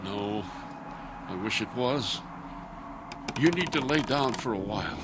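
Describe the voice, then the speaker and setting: An actor playing an anxious, protective husband in a radio drama, with a halting, stammering, urgent delivery. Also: male voice, medium pitch, expressive, heavy background noise, close to an everyday voice. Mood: relieved, concerned.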